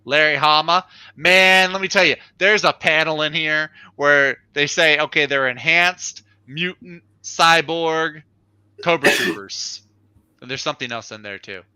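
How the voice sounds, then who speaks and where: A man's voice: on the high side, measured pace, pushed and bright, smooth, dry recording, poor audio. A man chatting casually and enthusiastically as he reviews on a livestream show, informal and unscripted.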